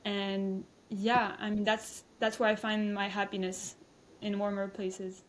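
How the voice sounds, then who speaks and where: A woman's voice: mid-range voice, level tone, measured pace, rough recording. A woman in her twenties from Belgium, a guest chatting casually with hesitant restarts and fillers. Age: 20s. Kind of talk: casual interview chat.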